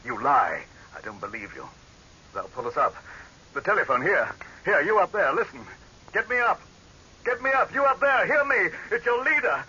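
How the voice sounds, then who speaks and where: An actor playing a pompous, tyrannical dictator in 1930s radio horror drama style, haughty and imperious. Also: male voice, high voice, very animated, moderate pace, pushed and bright, slightly rough, heavily acted. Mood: frantic, desperate. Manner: imperious, haughty, barking orders. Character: pompous tyrannical male dictator, commanding, scornful, cracking into panic. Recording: hissy, dull 1930s radio recording.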